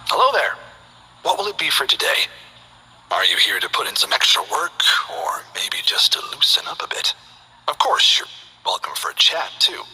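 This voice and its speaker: A man voicing a calm, polite male gym owner who speaks softly and half-whispers in a video game. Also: medium pitch, husky, heavily acted, low-quality recording. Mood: gentle. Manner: soft-spoken, measured, half-whispered.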